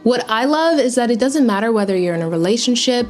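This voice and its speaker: A woman's voice: on the high side, lively intonation, fast, soft background music. A young woman co-hosting a casual chat, playful and gossipy, reading dating app messages aloud. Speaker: co-host.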